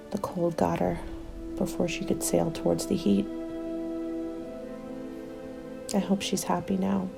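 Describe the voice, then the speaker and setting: A woman playing a starving, bitter former nurse surviving a zombie apocalypse, in a soft, hushed ASMR roleplay that drifts into whispers. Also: lowish voice. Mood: weary, mournful. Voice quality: creaky, soft. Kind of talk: ASMR dramatic roleplay monologue. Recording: music under the voice. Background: music.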